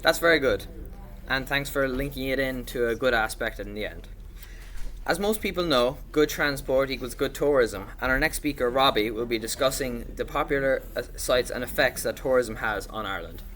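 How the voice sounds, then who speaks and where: A man's voice: medium pitch, normal speed, fairly bright tone, a little hiss or hum. A young man presenting as a podcast host in a scripted, introductory style.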